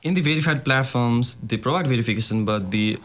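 A man's voice: medium pitch, fairly quick, fairly bright tone, slight background noise. Young man, earnest and hesitant. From Nepal. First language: Nepali. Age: young adult. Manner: earnest, hesitant with fillers and restarts. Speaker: student guest. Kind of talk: interview answers.

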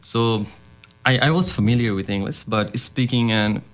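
A man's voice: medium pitch, moderate pace. Young man, earnest and hesitant. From Nepal. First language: Nepali. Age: young adult. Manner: earnest, hesitant with fillers and restarts. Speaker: student guest. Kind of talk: interview answers.

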